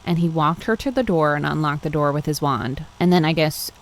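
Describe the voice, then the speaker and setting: A woman podcast host with a slightly low voice reads fan fiction out loud in an informal way. She moves between narrating and sassy, gossipy commentary of her own, full of exclamations. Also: fairly quick. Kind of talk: reading fan fiction aloud with casual, reacting asides.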